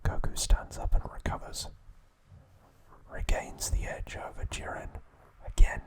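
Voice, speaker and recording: Male ASMR host, soft unvoiced whisper, unhurried delivery, dry playful asides. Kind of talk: whispered ASMR recap narration.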